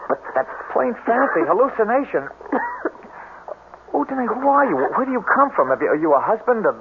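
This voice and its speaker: A man's voice: high-pitched, sing-song, a little fast. A lovestruck, worried recluse from the swamp. His delivery is earnest and hesitant, with frequent stammers, in the dramatic style of seventies radio. The recording is dull and heavily noisy. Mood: urgent, anxious. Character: anxious, lovestruck, disfigured man living alone in swamp. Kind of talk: 1970s radio drama acting. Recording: muffled, noisy old radio broadcast tape.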